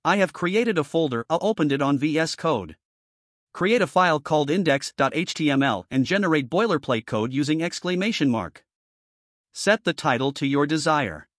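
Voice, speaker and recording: Man, tutorial presenter, fairly high voice, scripted narration, dry close recording. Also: lively intonation, moderate pace, dry recording.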